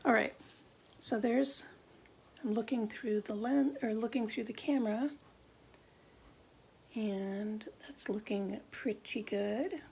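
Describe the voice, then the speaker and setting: A middle-aged woman with a gravelly voice, chatting and thinking aloud through a live card-making demo at her craft desk. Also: mid-range voice, darkish tone, a little hiss or hum.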